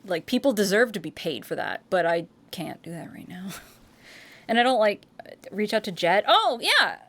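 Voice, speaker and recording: A woman's voice: mid-range voice, sing-song, normal speed, a bit bright. An American woman, a streamer and voice actor, chatting casually and rambling, with frequent restarts, swearing and laughter. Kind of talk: casual live-stream chat.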